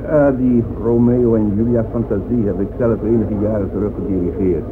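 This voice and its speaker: An actor playing a conceited, dismissive conductor in a radio drama, speaking in a pompous, deliberate manner. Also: male voice, on the low side, fairly even intonation, lightly acted. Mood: haughty. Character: conceited, dismissive male conductor and music critic. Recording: noisy, phone-like old radio recording.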